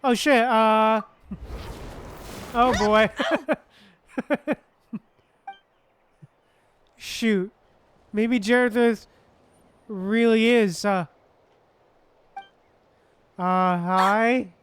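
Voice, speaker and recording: A woman's voice: on the high side, fairly even intonation, extremely slow, long pauses, clean tone. A woman streaming a game with casual commentary in a giggly, slangy manner.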